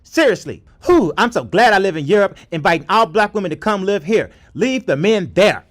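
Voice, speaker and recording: A man acts out an indignant social media commenter in a mocking comedy reading. The voice sits fairly high, and the delivery is exaggerated and sassy. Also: expressive, moderate pace.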